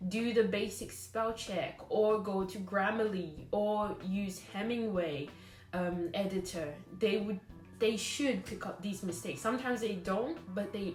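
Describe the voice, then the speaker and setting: A woman's voice: mid-range voice, echoey room. A woman giving a recorded lecture to trainee teachers, blunt and scolding, driving her points home with repetition.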